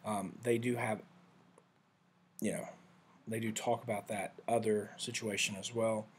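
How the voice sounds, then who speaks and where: A man giving a casual, rambling screen-share walkthrough of study software, often correcting himself mid-sentence. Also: on the low side, little pitch movement, measured pace, slight background noise. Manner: rambling, self-correcting, conversational. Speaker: vlogger reviewing software.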